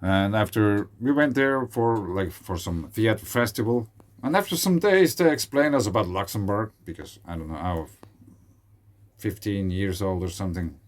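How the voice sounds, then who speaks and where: A man's voice: fairly low pitch, normal speed. A man in his forties with a Swiss German accent, rambling in a casual solo video with many fillers and restarts. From Switzerland. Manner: rambling, hesitant, with frequent fillers. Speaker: video creator. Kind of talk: casual monologue.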